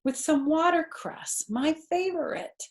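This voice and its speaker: Woman voicing an excitable, high-pitched hungry little sister. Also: slow pace. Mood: eager. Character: eager, excitable hungry young sister in a storybook.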